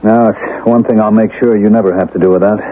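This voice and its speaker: A man's voice: on the low side, steady tone, fast, noisy background, natural voice. A man playing a guilt-ridden, weary middle-aged family man in a tense, brooding radio drama. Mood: weary, evasive. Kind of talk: 1970s radio drama acting, first-person narration. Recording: narrowband vintage radio, music underneath.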